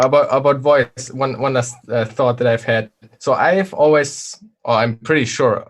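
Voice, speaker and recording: A man guest in casual conversation, speaking hesitantly with many fillers and restarts. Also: mid-range voice. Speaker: guest, video maker. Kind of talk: casual chat.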